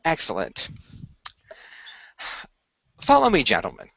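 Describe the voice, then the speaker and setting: A man's voice: medium pitch, wildly swooping pitch, vocal fry, fairly bright tone, breathy edge, no room sound, muffled recording, full character voice. Man playing a formal, earnest keeper of secrets. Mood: inviting. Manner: formal, measured, portentous storytelling. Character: Noah, courteous, earnest male keeper of a magical secret.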